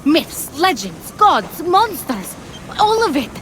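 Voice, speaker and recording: A woman's voice: high voice, sing-song, takes it slowly, fairly bright tone, a little hiss or hum. A woman voicing a wry, eager game hero. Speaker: game protagonist. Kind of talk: video game dialogue. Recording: game audio with music underneath.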